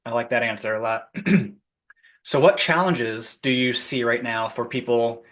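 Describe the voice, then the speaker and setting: A man's voice: mid-range voice, unhurried, a bit bright. A man hosting a casual spiritual interview podcast, enthusiastic, laughing often and repeating his affirmations. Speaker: podcast host.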